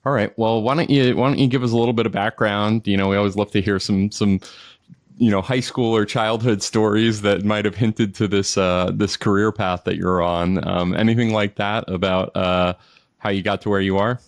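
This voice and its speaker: A man's voice: lowish voice, fairly even intonation, moderate pace, no echo, clean studio sound. A man hosting a podcast interview, chatting casually and restarting his questions with lots of fillers. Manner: rambling, restarts with lots of "you know". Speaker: podcast host.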